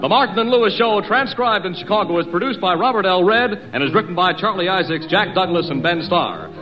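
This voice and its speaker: Formal mid-century male radio announcer, fairly high voice, reading credits over music. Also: lively intonation, brisk, dull audio. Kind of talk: 1950s radio closing credits.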